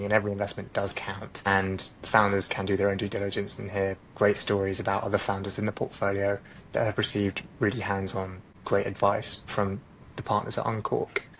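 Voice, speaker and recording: A man's voice: fairly low pitch, flat delivery, brisk, a bit bright, a little hiss or hum. A man speaking as a podcast host, conversational, summing up points and posing questions. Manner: recaps answers and poses questions. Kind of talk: conversational interviewing.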